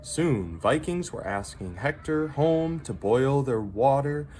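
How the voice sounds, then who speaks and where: A man reads a children's story aloud over noticeable background noise. His delivery is slow and dramatic, with very lively pitch movement and pauses for effect. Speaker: teacher reading a picture book aloud.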